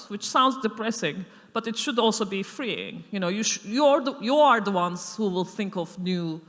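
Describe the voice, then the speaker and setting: A woman's voice: on the low side, a bit bright. A middle-aged woman lecturer answering audience questions off the cuff, thoughtful, with many fillers and restarts. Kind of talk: unscripted Q&A answer. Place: lecture hall. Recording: echoey lecture hall.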